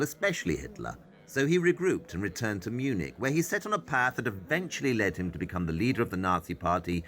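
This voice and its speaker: An actor playing a composed, commanding detective in an audio drama, explaining things with clipped authority. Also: male voice, fairly low pitch, a bit bright.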